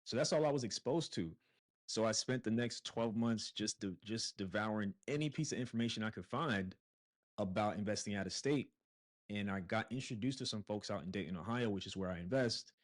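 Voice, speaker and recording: A man's voice: fairly low pitch, brisk. A man from Brooklyn, a podcast guest telling a story in a casual, conversational way with some fillers and restarts. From Brooklyn, New York. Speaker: podcast guest, real estate investor. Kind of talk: casual interview chat.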